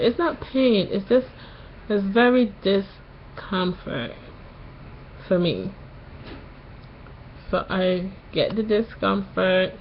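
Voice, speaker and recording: Slow-talking woman with a sore throat. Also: mid-range voice, highs cut off, slight background noise. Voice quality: slightly nasal. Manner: slow, halting, pauses often. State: sore throat after tonsil surgery. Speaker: vlogger. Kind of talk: casual vlog to camera.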